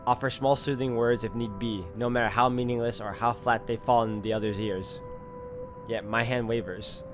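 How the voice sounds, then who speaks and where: A man reading introspective first-person visual novel narration in a measured way. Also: medium pitch, fairly even intonation. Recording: faint music under the voice. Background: music.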